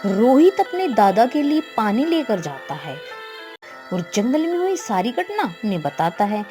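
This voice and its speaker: Woman reading a story out, highish voice, lots of up n down, music behind. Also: big pitch swings, a little slow. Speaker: story narrator. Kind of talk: scripted storybook narration.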